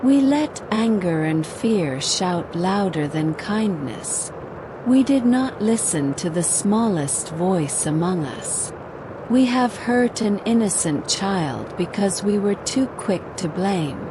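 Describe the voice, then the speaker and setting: Woman as a slow, sorrowful village chief. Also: mid-range voice, animated, background noise. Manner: earnest, heavy with sorrow. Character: sorrowful, regretful male village chief, humbly apologetic.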